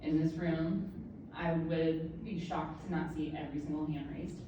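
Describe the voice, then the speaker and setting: A woman's voice: deep voice, level tone, moderate pace. Candid woman speaking in an echoey room. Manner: candid, self-deprecating humor. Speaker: author giving a speech. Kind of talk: personal speech to an audience. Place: event room with an audience. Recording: echoey room, distant mic, background noise.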